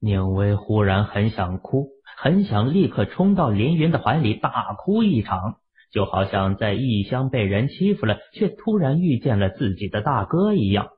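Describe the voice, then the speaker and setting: A man's voice: fairly low pitch, very animated, normal speed. A man narrating a novel as a scripted, dramatic audiobook reading. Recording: tinny, narrow-band phone-like audio.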